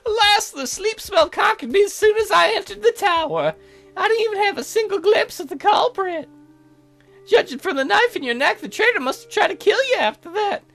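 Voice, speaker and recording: A man puts on a fussy little bird servant for a visual novel. The voice sits very high and swoops about, prim and formal, full of fawning devotion and ready indignation. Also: lively intonation, a little fast, gravelly, bright, forceful tone, soft background music, full character voice. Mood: gentle, concerned. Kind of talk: visual novel character voice-over.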